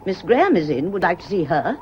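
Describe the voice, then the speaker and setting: A woman's voice: fairly low pitch, sing-song, quick pace, darkish tone, slight background noise. An actress playing a devoted, prying woman servant, deferential yet pointed, in a period radio mystery. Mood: guarded, polite. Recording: dull, muffled old radio recording.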